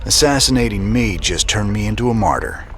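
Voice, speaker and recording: A man's voice: on the low side, lively intonation, a little fast, fairly bright tone. A man voicing a laid-back, weary rebel commander in a video game, talking in a wry, folksy drawl. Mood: grim, wry. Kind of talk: video game cutscene acting. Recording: music under the voice.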